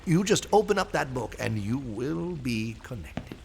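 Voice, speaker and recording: An eccentric, mysterious gentleman for an audio drama. His creaky voice swoops wildly from murmurs to cries. He dithers and exclaims with theatrical excitement. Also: medium pitch, a little slow, slight background noise. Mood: grand, eager.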